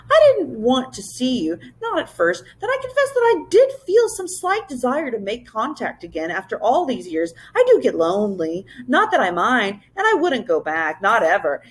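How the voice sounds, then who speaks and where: A woman's voice: on the high side, low-quality recording. A woman doing a lisping old moose-like king, nonchalant, a bit haughty, chatty, storybook creature. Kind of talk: children's storybook read-aloud.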